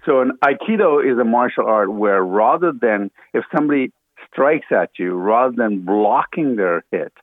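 A man's voice: mid-range voice, lively intonation, normal speed, dry recording. A man who was born in Ghana and grew up in Africa, a guest earnestly explaining his view in a radio interview, with many fillers and restarts. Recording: dry, tinny phone line.

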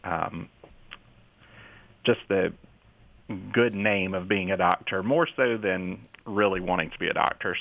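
A man in his forties with a Mississippi drawl shares his story as a guest, stopping and restarting with plenty of fillers. His voice sits low and comes through a thin, tinny phone-like line. The sound is dry, with no room around it. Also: vocal fry, no echo. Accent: Southern US (Mississippi). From Mississippi, USA. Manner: halting, with frequent fillers and restarts. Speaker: children's pastor, guest. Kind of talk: casual interview talk.

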